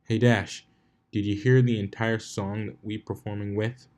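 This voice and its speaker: A man's voice: fairly low pitch, flat delivery, moderate pace, darkish tone, natural voice. Young male pegasus pony, played by a man: laid-back, boastful, casual story-reading voice. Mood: breezy, eager. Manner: casual, dude-like. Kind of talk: fan fiction character reading.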